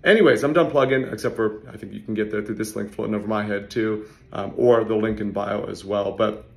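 A man in his thirties talks straight to his phone camera in an office hallway, his voice hoarse and worn from yelling. The tone is gravelly with plenty of fry, and he moves quickly through casual, salesy explanations. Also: on the low side. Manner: fast, conversational, salesy asides. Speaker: real estate investor and channel host. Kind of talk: casual talking-head explainer.

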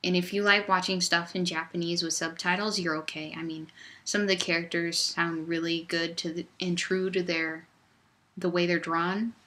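A young woman talks to her webcam in a loose, upbeat ramble, her voice low for a woman yet bright and crisp. She sounds close to a laptop mic in a small room. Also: little pitch movement, moderate pace. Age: young adult. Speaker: vlogger. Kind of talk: casual vlog chat. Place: small dorm room, indoors. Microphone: laptop webcam at desk distance.

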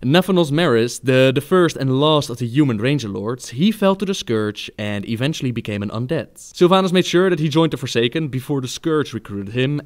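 A man's voice: medium pitch, expressive, fairly quick, hardly any pauses. A man narrates a scripted lore explainer in a mid-range voice. He presents the material as an explanatory storyteller for a video.

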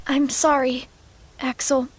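High-pitched, pleading teenage girl. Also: level tone, takes it slowly, very noisy. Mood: pleading, sad. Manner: soft, pleading. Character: gentle, desperate teenage girl, pleading, sorrowful. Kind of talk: video game voice acting.

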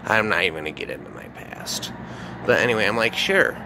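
A man with a somewhat low voice tells a dark story in a loose, rambling way, full of asides and repeated fillers. His delivery is casual and conversational. A clear noisy hiss sits under the recording. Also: fast talker. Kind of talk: casual first-person horror storytelling.

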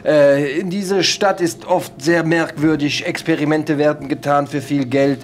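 A man's voice: fairly high pitch, fairly even intonation, unhurried, close to an everyday voice. Man playing a pompous, rambling eccentric doctor. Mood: musing, hesitant. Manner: hesitant, rambling, formal. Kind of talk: improvised horror tabletop audio drama.

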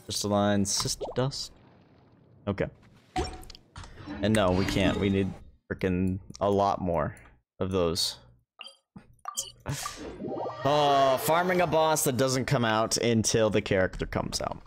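A man's voice: lowish voice, slow pace, pauses often, noisy background. A tired man giving casual livestream commentary on a game, laid-back, with sarcastic asides and mild swearing. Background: game music.

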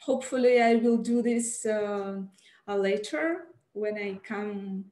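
A young woman with a Russian accent, speaking as a panelist on a video call, halting and searching for words with many fillers. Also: medium pitch, a little mellow, echoey room. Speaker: panelist poet. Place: home room, indoors. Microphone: video call, headset.

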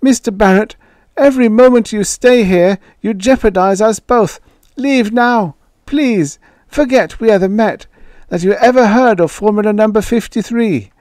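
A man voicing a frail, frightened old inventor who pleads in a high, quavering voice. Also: moderate pace, a little mellow, no room sound, lightly acted. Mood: fearful pleading.

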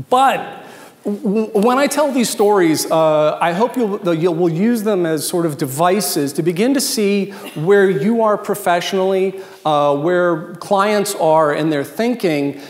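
An American man in his fifties with a notably high voice addresses a large, reverberant hall. He speaks as a keynote presenter, informal and conversational, dropping in jokes and emphatic asides. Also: animated, slow pace. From Washington, D.C., United States. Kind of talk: informal after-dinner keynote talk. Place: large event hall with dinner tables, indoors. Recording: echoey hall with long reverb.

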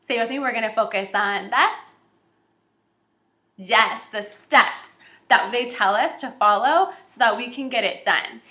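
Bright, high-voiced young woman teaching children. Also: measured pace. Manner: encouraging, animated, addressing young children. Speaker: kindergarten teacher. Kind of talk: children's read-aloud lesson. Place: small indoor room with shelves and whiteboard. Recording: echoey room.

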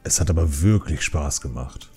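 Man doing a very deep, husky panda uncle. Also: moderate pace, darkish tone, soft background music, exaggerated voice. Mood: genial. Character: easygoing older panda brewmaster uncle.

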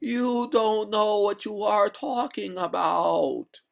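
Storybook water ghost , male reader: high, very slow, gurgling maiden, haughty and indignant, formal old-fashioned diction. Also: creaky, heavily acted. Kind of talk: storybook character voice.

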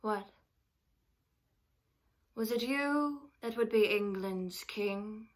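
A woman's voice: medium pitch, very slow. A woman performing a vengeful, mocking queen in a Shakespearean monologue, theatrical and declamatory. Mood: scornful, taunting. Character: vengeful, mocking English warrior queen taunting a captive.

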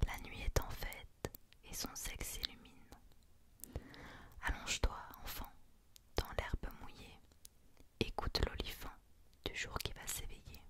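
A woman hosting ASMR, speaking in a gentle, hushed whisper as she chats and reads poetry. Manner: gentle, hushed, unhurried delivery. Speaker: ASMR host.

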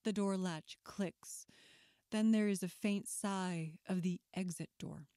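A woman reading scripted horror film narration in a measured, clinical, detached manner. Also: lowish voice, warm tone, no room sound, studio quality.

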